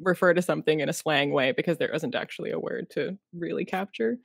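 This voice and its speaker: A woman's voice: low voice, fast talker, gravelly, breathy edge, dry recording, studio quality. A young woman hosting a casual interview over a video call from her home, chatty and thinking aloud. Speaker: host. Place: home room with bookshelves.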